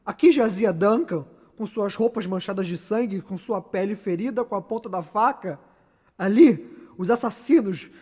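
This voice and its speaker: A man's voice: high-pitched, darkish tone, breathy edge, full character voice. A Brazilian man playing a tormented, guilt-ridden, ambitious murderer in a solemn, declamatory Shakespearean stage monologue. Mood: impassioned, indignant. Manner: declamatory, solemn, dramatic pauses. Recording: echoey hall with long reverb.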